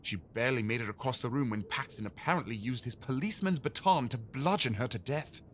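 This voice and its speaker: An actor playing a harried, exasperated Victorian police inspector in an audio drama, gruff and formal. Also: male voice, mid-range voice, brisk, fairly bright tone, a little hiss or hum, close to an everyday voice. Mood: grim, stern.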